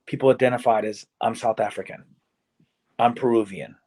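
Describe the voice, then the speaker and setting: A man in his forties from Virginia talks casually on a podcast. He tells stories from his travels at a steady, middle pitch and drops into quick quoted lines from the people he met. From Virginia, USA. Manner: storytelling, animated, acts out quotes. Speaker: podcast guest. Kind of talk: casual conversation.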